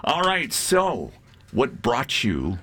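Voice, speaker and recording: A man hosting a casual live radio interview, halting as he puts short questions and reactions. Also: mid-range voice, huge pitch swings, pushed and bright. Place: radio studio.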